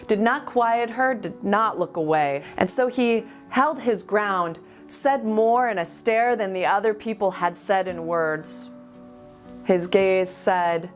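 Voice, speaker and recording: A woman performing spoken-word slam poetry with deliberate, dramatic phrasing and pauses. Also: medium pitch. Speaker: amateur slam poet. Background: music.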